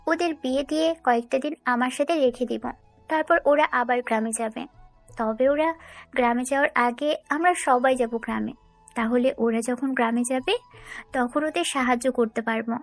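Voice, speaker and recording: Young female confidante, caring and scheming, high voice, romantic audio story woman performer. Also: moderate pace, no echo, soft background music. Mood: pleading, planning.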